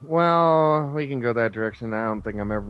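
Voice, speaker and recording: A man speaking as a guest in a conversational radio interview, halting but opinionated. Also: medium pitch, lively intonation, clean tone, dull audio. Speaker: interview guest, former air traffic controller.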